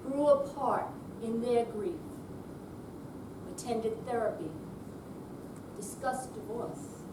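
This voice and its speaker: Slow, measured woman giving a dramatic reading. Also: on the high side. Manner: measured, clipped phrases with pauses. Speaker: readers theater performer. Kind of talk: dramatic reading of short narrative phrases. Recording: roomy hall, distant mic, background noise.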